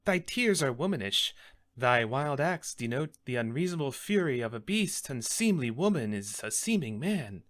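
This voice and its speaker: Man acting an exasperated, animated Shakespearean friar. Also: a little high. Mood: shocked rebuke. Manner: declamatory, rebuking, emphatic. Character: stern, exasperated friar scolding a despairing young man. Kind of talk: Shakespearean theatrical monologue.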